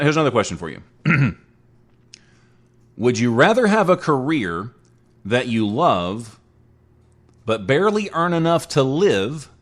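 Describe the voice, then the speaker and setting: A man's voice: medium pitch, a bit bright. A man in his forties hosting a casual solo talk show, chatty and rambling, joking at his own expense. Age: 40s. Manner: chatty, rambling, self-mocking. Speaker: internet radio show host.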